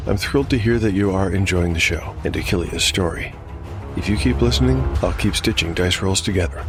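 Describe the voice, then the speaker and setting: Male podcast host, low register, earnest and articulate scripted delivery, music bed underneath. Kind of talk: scripted podcast host segment, reading listener review and credits.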